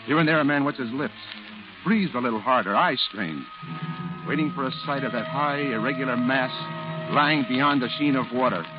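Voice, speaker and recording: An actor playing an earnest, literate war correspondent in a measured, dramatic 1940s radio style. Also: male voice, medium pitch, close to an everyday voice. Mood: tense, solemn. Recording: muffled 1940s radio recording with music.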